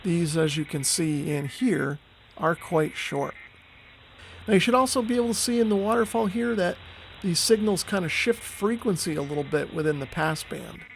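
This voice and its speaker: Male hobby video presenter, slightly high voice with heavy vocal fry, explanatory and unassuming, talking through a demonstration one step at a time. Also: moderate pace, a little hiss or hum, poor audio. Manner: step-by-step, tentative non-expert explaining. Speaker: radio hobbyist video host. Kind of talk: explanatory screen walkthrough.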